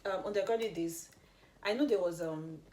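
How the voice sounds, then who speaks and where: A woman's voice: medium pitch, normal speed, warm tone. A young woman with a Nigerian accent, chatting casually and hesitantly, with many ums. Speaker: co-host, international student. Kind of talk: casual chat.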